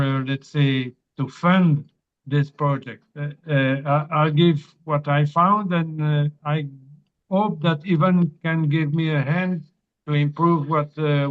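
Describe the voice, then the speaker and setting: Man, French accent, slow halting speech, slightly high voice, dull lo-fi call audio. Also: clean tone. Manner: hesitant, many "uh" fillers. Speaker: meeting participant. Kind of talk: casual remarks on a video call.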